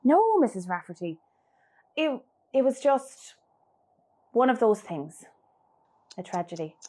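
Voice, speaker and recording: A patient Irish daughter, grown and worn out , played by a woman, in a radio drama. Her voice sits a little high, and she speaks gently and politely. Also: big pitch swings, slow, exaggerated voice. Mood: strained politeness. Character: weary, patient grown-up daughter, married mother. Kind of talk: radio play acting.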